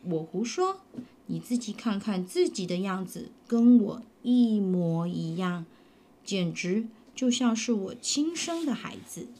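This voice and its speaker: A woman voicing a big male tyrannosaurus in a storybook, speaking low, slow and insistent. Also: big pitch swings, dark tone. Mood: mocking.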